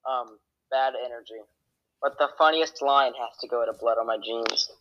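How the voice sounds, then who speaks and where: A young man holds forth on a homemade podcast, boasting and cracking jokes in a rambling way. His voice sits at a middling pitch, and the recording sounds dull and lo-fi. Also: moderate pace, a bit bright. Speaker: podcast guest. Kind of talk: casual podcast banter.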